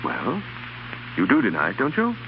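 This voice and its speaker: An actor playing an earnest, lovestruck and protective gentleman in a 1930s radio drama. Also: medium pitch, huge pitch swings, normal speed. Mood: insistent, pleading. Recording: dull, hissy 1930s radio broadcast.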